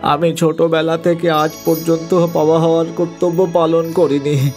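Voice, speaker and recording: A man's voice: a little high, little pitch movement, normal speed, darkish tone, close to an everyday voice. A grieving father, acted by a man, full of remorse. The cartoon voice is sorrowful, with faint music underneath. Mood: remorseful. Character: remorseful father grieving his dutiful daughter. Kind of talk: cartoon story voice acting.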